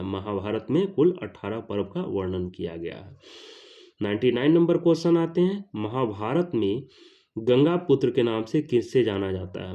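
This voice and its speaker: A man with an Indian accent teaching an exam question-and-answer lesson, deliberately repeating each question and answer. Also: on the low side, dark tone, muffled recording.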